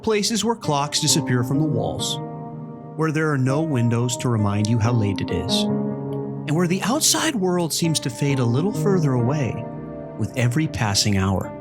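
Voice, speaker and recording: A man narrates as the host of a horror broadcast, with a mid-pitched voice. His delivery is measured, scripted and ominous, and music plays loudly behind him. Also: very animated. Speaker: host of a horror audio series. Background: jazz piano music.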